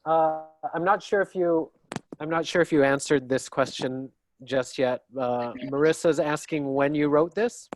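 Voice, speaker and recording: A woman's voice: low voice, unhurried, slight background noise, low-quality recording. A woman informally relaying audience questions during a question session.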